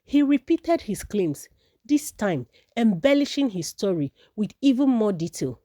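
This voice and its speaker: A woman's voice: medium pitch, very animated, unhurried, warm tone, no room sound, studio quality. A woman narrating a folktale from a script as a storyteller.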